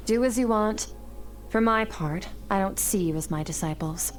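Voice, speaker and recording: A woman playing an aloof, stern sword master in a video game, lecturing in measured, formal phrases. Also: mid-range voice, close to an everyday voice. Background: music.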